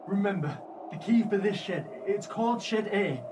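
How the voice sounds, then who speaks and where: A man's voice: high-pitched, expressive, normal speed. A man playing a fearful, insistent hotel worker giving grave warnings in a radio play. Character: fearful, urgent hotel insider warning of hidden danger. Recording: distant mic, noisy outdoor ambience.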